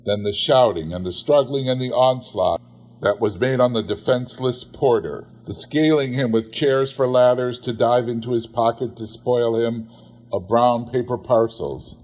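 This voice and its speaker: A man narrating a literary story expressively, in a measured, theatrical manner. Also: mid-range voice, darkish tone. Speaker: audiobook narrator.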